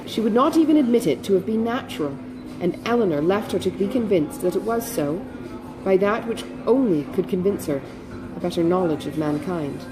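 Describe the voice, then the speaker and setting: A woman reading literary narration as an audiobook narrator, with expressive, careful delivery. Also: mid-range voice, poor audio.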